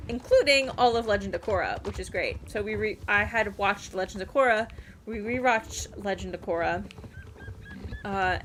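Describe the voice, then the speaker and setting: A woman's voice: mid-range voice, fairly bright tone, slight background noise. A woman livestreaming a game, chatting and rambling casually and reading things aloud as she plays. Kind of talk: casual stream chat and game commentary.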